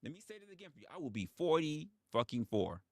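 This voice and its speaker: A man's voice: fairly high pitch, very animated, quick pace, dry recording, clean studio sound. A man in his forties hosting a casual livestream monologue, emphatic and profane, often repeating his phrases for effect. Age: 40s. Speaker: livestream host.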